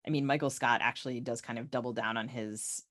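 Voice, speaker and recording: A woman's voice: rumbling low, steady tone, fast, fairly bright tone. A woman co-hosting a podcast, talking casually about books in a tentative way, thinking aloud. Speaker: podcast co-host. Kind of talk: casual literary discussion.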